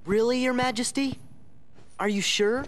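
A man's voice: extremely high pitch, fairly even intonation, a little slow, a bit bright. A young man voicing a spirited, impatient teenage boy hero in a video game cutscene. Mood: worried.

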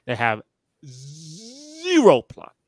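A man's voice: a little high, huge pitch swings, drawn-out words, breathy edge, dry recording. A man hosting a casual sports talk on a video call, hyped and slangy, teasing his guests. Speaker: podcast host. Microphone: headset mic on a video call.

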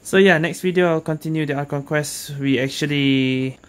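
A man giving casual, low-key, hesitant let's-play commentary as a game streamer. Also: normal speed, smooth.

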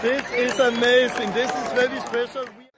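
A man's voice: very high voice, flat delivery, normal speed. A middle-aged man from Denmark with a Danish accent, vlogging to camera on a phone in a packed arena. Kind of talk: vlog to camera. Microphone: phone at arm's length. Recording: noisy arena with loud crowd. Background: loud rally crowd.

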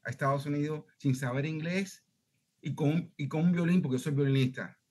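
A man's voice: on the high side, normal speed, darkish tone. Middle-aged cuban guy, headset call, earnest, talks a lot, keeps asking if you follow. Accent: Cuban Spanish. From Cuba. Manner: earnest, talkative, keeps checking he is understood. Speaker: student asking a question. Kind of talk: video call Q&A. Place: home room, indoors.

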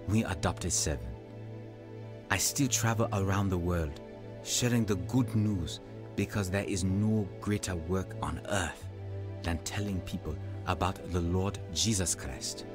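Low-voiced devout older evangelist. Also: male voice. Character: devout older African evangelist telling his life story. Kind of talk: scripted first-person radio drama narration.

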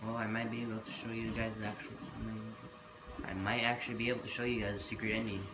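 A man's voice: on the low side, level tone, fast talker. A man with a scratchy voice from being sick, giving casual, playful gameplay commentary and trash-talking the game. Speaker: gaming YouTuber. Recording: echoey room, loud game audio.

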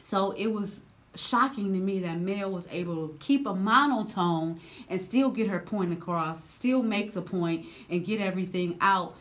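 A loud, expressive woman in her thirties talks straight to the camera in casual, slangy reaction commentary. Her voice swings from low and level to high and sharp when she gets worked up. She is seated indoors, close to the camera. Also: moderate pace. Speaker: reaction vlogger.

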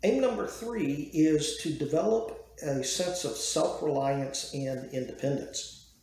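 A man in his sixties giving an unscripted woodworking lesson to a webcam in a dim small room, measured and full of "uh" fillers and restarts. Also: on the high side, darkish tone. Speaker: woodworking educator. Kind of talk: unscripted talk to camera. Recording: very echoey room.

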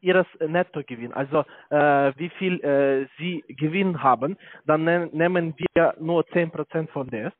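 A man with a foreign accent, not a native speaker, making a hesitant telesales pitch for investments. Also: no room sound. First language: not German. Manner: halting, many hesitations. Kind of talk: phone sales pitch. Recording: tinny dry phone line.

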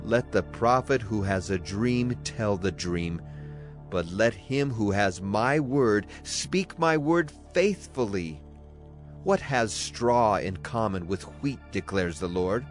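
A man's voice: on the low side, expressive, slow pace. A man gives a solemn, declamatory dramatized reading of scripture as a Bible narrator. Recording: clear music behind the voice. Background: music.

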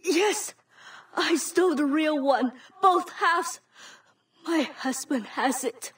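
An actress playing a haughty, defiant pirate queen in a video game cutscene, speaking deliberately. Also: sits high, a bit bright, distant mic. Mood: bitter, fading. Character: proud female pirate queen, defiant, wounded.

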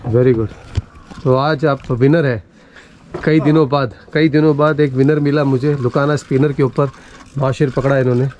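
A man speaks casually as a fishing vlog host, in an ordinary mid-pitched voice. He tends to repeat short phrases, and clear outdoor noise runs behind him. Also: steady tone, moderate pace, darkish tone. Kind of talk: casual spoken vlog to viewers. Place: outdoors by water, partly on a boat. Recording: noisy outdoor recording with boat sounds.

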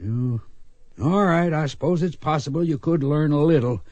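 An actor playing a weary, grumbling but good-hearted old ex-gunfighter in a radio western, folksy and hesitant. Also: male voice, a little high, normal speed, darkish tone, no room sound, natural voice. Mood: reluctant.

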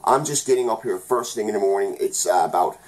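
A man's voice: on the low side, quick pace, a little mellow, low-quality recording. A young man from Newfoundland giving a rambling, heated post-game hockey recap at home, often correcting himself mid-sentence. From Newfoundland, Canada. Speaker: hockey vlogger. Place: small room at home.

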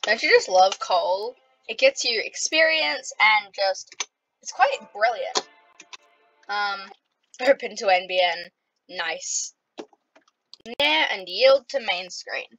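A chatty Australian woman talks her way through a computer game at her desk. She rambles with plenty of ums and fillers, then bursts into playful excitement. Her voice sits at an ordinary middle pitch. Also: slow pace, pauses often, dull audio, soft background music. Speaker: gaming vlogger. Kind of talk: casual game commentary.

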